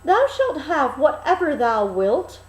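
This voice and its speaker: A woman's voice: sits high, huge pitch swings, slow pace, reverberant, pitched above the performer's own voice, exaggerated voice. A woman voicing a fond, solemn old father in a fairy tale, speaking formally. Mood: concerned, indulgent.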